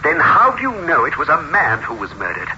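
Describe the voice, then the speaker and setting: An anxious fiancé in an old radio melodrama, urgent and insistent. The voice is high for a man and very animated, on a muffled, noisy vintage recording. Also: fairly quick. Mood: pressing.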